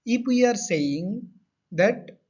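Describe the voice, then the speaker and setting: Male lecturer, high voice, repeats and rephrases points, muffled lo-fi recording. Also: lively intonation, slow, darkish tone, slightly rough. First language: not Hindi. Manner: explains with everyday examples. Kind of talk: classroom lecture. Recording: dull lo-fi audio.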